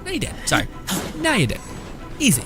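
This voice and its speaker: A man's voice: extremely high pitch, wildly swooping pitch, a little fast. A young man giving casual gameplay commentary as a streamer, rambling, jokey and slangy. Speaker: gaming streamer. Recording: game music behind the voice.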